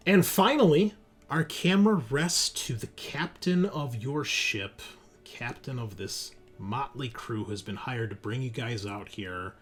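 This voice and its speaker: A man narrating a tabletop roleplaying game as game master, explaining things conversationally with pauses mid-sentence. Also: medium pitch, animated. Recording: music behind the voice. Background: background music.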